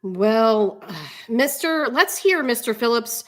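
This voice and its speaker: A woman playing a measured, skeptical judge in a dramatic reading of a court hearing, speaking deliberately with hesitant pauses. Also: a little high, expressive, a bit bright.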